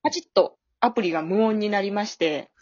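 Husky woman on muffled call audio. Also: mid-range voice, brisk, a little mellow. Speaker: sound operator and regular panel member. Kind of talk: casual recounting in a group chat. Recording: dull lo-fi online call audio.

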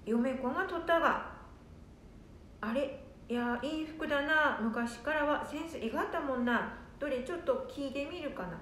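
A forgetful, hasty old grandmother acted by a woman chatters in a high voice with a Nanbu dialect. She rambles to herself with stubborn good cheer in this amateur radio play. The room echoes and there is faint rumble behind her. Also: moderate pace, fairly bright tone. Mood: flustered muttering. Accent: Nanbu dialect, northern Tohoku. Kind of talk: amateur radio drama acting.

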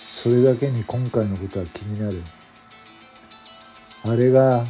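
Man acting brooding veteran detective, dark low voice. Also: lightly acted. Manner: terse, rueful, musing. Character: brooding veteran male police detective, gruff, regretful. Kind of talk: radio drama acting.

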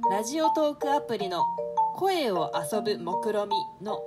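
A woman reading scripted radio announcements in a polite, animated way. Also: medium pitch, normal speed, over loud music.

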